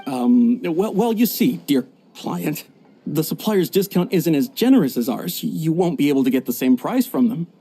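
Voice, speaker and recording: A man's voice: fairly high pitch, animated, moderate pace, warm tone, slight background noise. An actor playing a flustered, evasive male tea shopkeeper in a video game, stammering nervously. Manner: stammering, nervous filler-laden speech.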